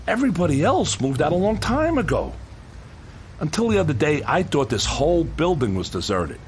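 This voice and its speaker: An actor playing a gruff but kind-hearted demolition contractor in a radio drama, with an American accent and colloquial, earnest, insistent working-man speech. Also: fairly high pitch.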